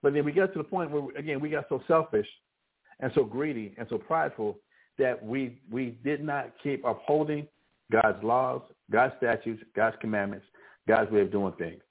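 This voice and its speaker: A man teaching a scripture class, preaching in a halting way with many restarts and fillers. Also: medium pitch, slightly rough. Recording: phone-like narrow band.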